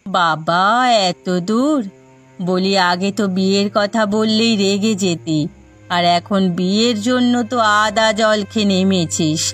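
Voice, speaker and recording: A woman voicing a middle-aged widowed village mother in a cartoon, indulgent and gently chiding. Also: medium pitch, a little slow, faint music behind, lightly acted. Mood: concerned, indulgent. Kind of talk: Bengali cartoon dialogue.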